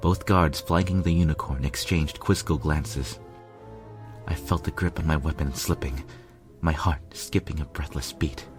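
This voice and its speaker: A man's voice: rumbling low, steady tone, moderate pace. A man narrating a fan fiction story as a lovelorn pony royal guard, grave and dramatic. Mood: tense. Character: male pony royal guard telling his lovelorn tale. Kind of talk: scripted first-person story narration. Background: music.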